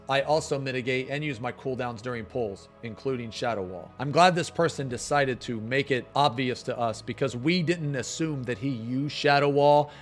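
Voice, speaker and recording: A man's voice: mid-range voice, a bit bright. A man streaming casual reaction commentary, reading posts aloud in a blunt, sarcastic way with plenty of swearing. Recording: background music. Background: music.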